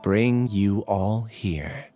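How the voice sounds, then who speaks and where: A man's voice: lowish voice, very slow, warm tone, soft background music. A man voicing a subdued, earnest student outcast in a video game, speaking solemnly. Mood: resigned.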